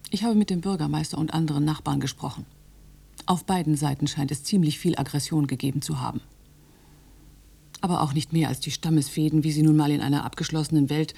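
Female inspector for radio drama: dark, breathy husky voice, measured, quietly defiant, dry. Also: deep voice, fairly even intonation, exaggerated voice. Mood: measured, firm.

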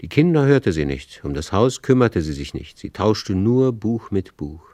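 Deep-voiced male narrator, dry and measured. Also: sing-song, darkish tone, dry recording. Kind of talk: literary radio play narration.

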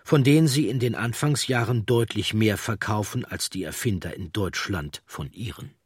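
A man reading scripted documentary narration with measured, storytelling delivery and a husky voice. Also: lowish voice, no room sound, clean studio sound.

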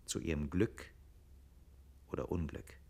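A male narrator with a very deep voice tells the story in a radio play. He is reflective and conversational, in the manner of a scripted storyteller. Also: steady tone, measured pace, darkish tone.

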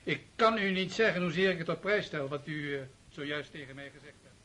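A man playing an obsequious, flattering courtier in a radio play, with a fawning, hesitant manner. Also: on the high side, fairly quick. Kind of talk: Dutch radio play acting.

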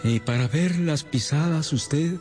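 A man's voice: fairly high pitch, measured pace, darkish tone, soft background music, lightly acted. A man playing a distraught, anxious banker and uncle in a melodramatic radio drama, with a hesitant, agitated and theatrical delivery.

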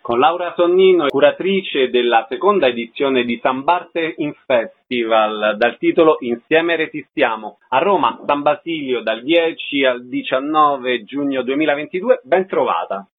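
On a slightly dull radio recording, a man speaks as host and interviewer. His mid-range voice is husky, with the delivery of someone announcing items and questioning guests. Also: mid-range voice, animated, unhurried, few pauses, faint music behind.

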